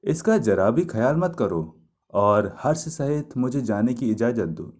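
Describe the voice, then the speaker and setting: A man plays a grateful young male student of myth in a storybook telling. He declaims with reverence and devotion, his mellow voice rising and falling freely at a slow pace. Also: medium pitch, very animated. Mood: earnest.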